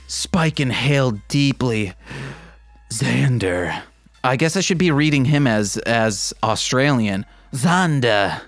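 A man's voice: mid-range voice, animated, unhurried, hardly any pauses, no echo. A man narrates fan fiction as a comedy podcast host, taking his time in a very dry, close recording. He plays the prose as mock-sultry, then undercuts it with self-deprecating asides in a casual tone.

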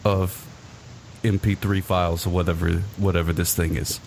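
A man's voice: sits low, level tone, moderate pace, darkish tone, slight background noise. A man hosting a podcast in a casual, rambling, jokey way, with plenty of fillers and restarts. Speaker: podcast host. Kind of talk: casual chat.

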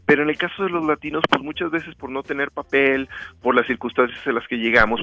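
A man's voice: medium pitch, bright, forceful tone. A man talking casually as an interview guest, explaining things and often voicing imagined dialogue. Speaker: interview guest, author and researcher. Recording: dull narrow-band dry sound, music behind.

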